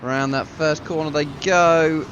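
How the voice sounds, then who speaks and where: A man with a self-mocking, casual manner commentates a league sim race, a bit ill with a deeper voice than usual. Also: steady tone, measured pace, a bit bright, highs cut off, a little hiss or hum.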